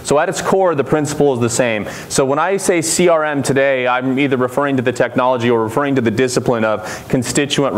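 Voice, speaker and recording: Loud-voiced man giving a conference talk. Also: medium pitch. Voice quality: loud, carrying voice. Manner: energetic, engages the audience with questions. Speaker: conference speaker. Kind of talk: conference presentation. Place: conference room.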